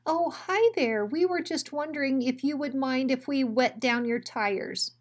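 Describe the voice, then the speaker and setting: A woman's voice: high voice, a little slow, voice pushed up. A timid, goofy little dog from a children's story, voiced by a woman. He talks in a nervous, hesitant, naive way, as the smaller sidekick.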